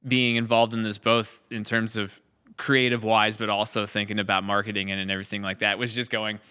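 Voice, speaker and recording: A man's voice: fairly low pitch, little pitch movement, fairly quick, sharp tone, clean tone. An American man hosting a podcast in casual, reflective conversation, emphatic and repeating phrases for weight. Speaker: podcast host and series creator.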